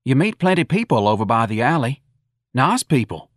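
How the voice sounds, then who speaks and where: A man's voice: medium pitch, big pitch swings, normal speed, no room sound, studio quality, pitched above the performer's own voice. A man voicing a meek, friendly little patrolman in an audiobook, mild and eager to please with folksy grammar. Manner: folksy ungrammatical talk, mild.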